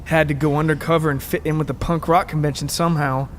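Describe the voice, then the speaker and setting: A man's voice: fairly high pitch, steady tone, fast, slight background noise, lightly acted. A man voicing a grim undercover punk exterminator who pronounces judgement solemnly in an audio story. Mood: grim, pronouncing.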